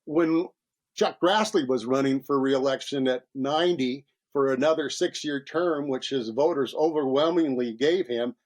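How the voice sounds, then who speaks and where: A man's voice: mid-range voice, normal speed. A man in his seventies, a voter making his case in a wry, argumentative way. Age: 70s. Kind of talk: interview clip.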